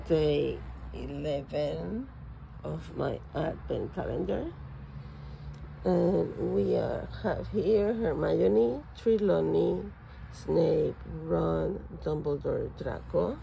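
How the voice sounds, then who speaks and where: A woman with as her talks slowly through a toy unboxing at home, and her voice is very gravelly and raspy. Her tone is mellow and dark, and she gushes with excitement over each piece. Faint room noise sits behind her. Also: fairly low pitch, low-quality recording. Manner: excited, gushing over toys. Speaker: vlogger. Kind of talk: casual unboxing chat. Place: home, at a table.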